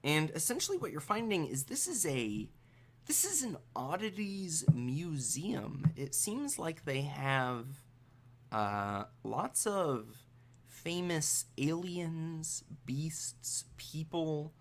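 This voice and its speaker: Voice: mid-range voice, sing-song, takes it slowly. A game master narrating an improvised tabletop game in a conversational, hesitant way.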